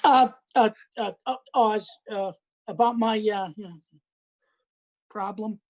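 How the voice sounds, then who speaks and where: A woman's voice: medium pitch, slow pace, a little mellow. A woman voicing a nervous, stammering male patient in a script reading. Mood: sheepish. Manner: stammering, awkward. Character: nervous man called Mister Lyons.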